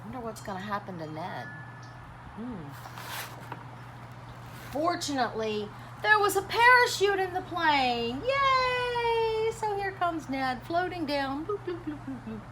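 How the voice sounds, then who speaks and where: Expressive woman reading storytime, very lively pitch. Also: takes it slowly. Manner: expressive, dramatic, chatty with young listeners. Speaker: teacher reading a children's picture book aloud. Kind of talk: animated storytime read-aloud with commentary and questions. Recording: clearly noisy background.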